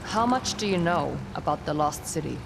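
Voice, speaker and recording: A woman's voice: deep voice, expressive, normal speed, vocal fry, background noise. A woman playing a guarded young warrior in a video game, speaking in a dry, understated way. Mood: dry, wry. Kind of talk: video game cutscene acting.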